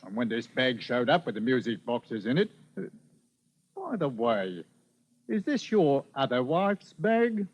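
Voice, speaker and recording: An actor playing a dry, knowing police inspector with a wry, deliberate manner, in 1940s radio style. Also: male voice, medium pitch, sing-song. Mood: matter-of-fact. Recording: dull old radio broadcast recording.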